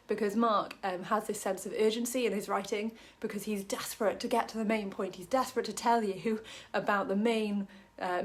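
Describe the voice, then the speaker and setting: A woman from Wales talks through a short Bible passage in a relaxed, chatty way. She fills her sentences with ums and drops in small jokes about herself. Her voice sits at an ordinary middle pitch. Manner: chatty, many ums, self-deprecating jokes. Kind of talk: casual Bible study talk to camera.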